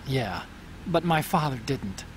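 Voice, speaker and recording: An actor playing an earnest, troubled scientist ally, hesitant yet explanatory, in a video game cutscene. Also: male voice, mid-range voice, lively intonation, slow, slight background noise, low-quality recording. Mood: guilty, sorrowful.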